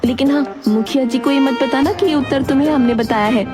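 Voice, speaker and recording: A high-pitched, quick-witted young village wife from a children's cartoon, acted by a woman. She explains things kindly and persuasively, with music prominent underneath. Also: lightly acted. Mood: helpful. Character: clever young village daughter-in-law, kind and quick-witted. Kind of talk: Hindi children's cartoon voice acting.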